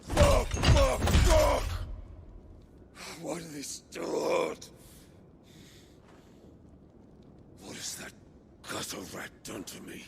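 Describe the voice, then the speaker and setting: A wrathful warlord from a dramatic video game, his pride in tatters. His pitch sits high and leaps around, his delivery is slow and gravel-edged, veering between explosive and broken. Loud music plays beneath him. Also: very animated, noisy background, full character voice. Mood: enraged, then bitter. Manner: explosive, swearing, swinging from roars to broken murmurs. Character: wrathful, wounded, obsessive male warlord with wrecked pride. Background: loud cinematic score.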